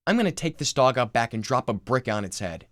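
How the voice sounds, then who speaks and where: Guy doing a cocky exasperated forties dad in a script read, real animated, dry close sound. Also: mid-range voice, a little fast, no echo. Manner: boastful, blustering, exasperated. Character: brash, self-obsessed fitness-mad father in mid-forties.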